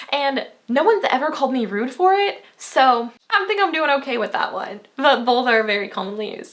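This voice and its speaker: Quick, bright-voiced young woman teaching. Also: a little high. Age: 20s. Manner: upbeat, chatty, laughs often. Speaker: language teacher. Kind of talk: video language lesson.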